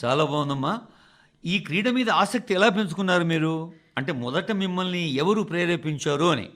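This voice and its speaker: A man reading a scripted, formal radio address in speech, phrasing it in a measured, emphatic way with deliberate pauses. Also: a little high, animated, a bit bright. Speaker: radio reader of a translated address.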